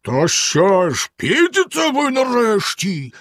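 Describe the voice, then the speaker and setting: A man reading a storybook aloud, voicing a cruel, menacing husband who shouts slow, drawn-out threats. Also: high voice, very animated, no echo, clean studio sound, voice pushed up, full character voice.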